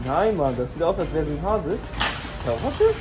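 A man's voice: fairly high pitch, very animated, moderate pace, rough recording. Slangy young man, music in the background. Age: young adult. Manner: slangy, colloquial. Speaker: vlog participant. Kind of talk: casual chat to camera. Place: supermarket and outside it. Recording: music running under the voice.

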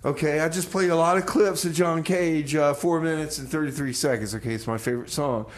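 A man's voice: a little high, a little fast, close to an everyday voice. A man playing a forgetful, self-important aging radio DJ in a comedy radio play, rambling and stammering defensively.

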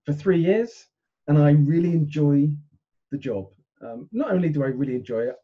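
A middle-aged man with a very soft, dark tone and some huskiness introduces a game character casually. He speaks haltingly, and the sound is a little dull. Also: medium pitch, breathy edge. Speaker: player. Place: indoors at home, online video call.

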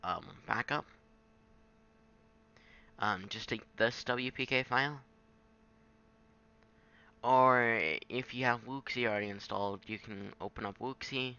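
A man giving a casual, improvised software tutorial in a halting, self-deprecating way on a home microphone. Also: medium pitch, clear, resonant tone, dull audio. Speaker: tutorial maker. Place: at a home computer, screen recording.

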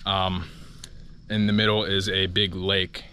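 A man's voice: deep voice, level tone, unhurried, sharp tone. A man in his twenties chatting to an action camera while hiking a rainforest trail. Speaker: travel vlogger. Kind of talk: casual hiking vlog. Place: outdoors on a rainforest volcano trail. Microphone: action camera held at arm's length. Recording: faint outdoor noise.

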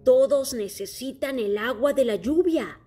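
A woman voicing a wise, worried king from another planet in a children's story, speaking slowly and earnestly. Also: high-pitched, mellow, slightly rough, soft background music, pitched above the performer's own voice. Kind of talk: storybook character voice.

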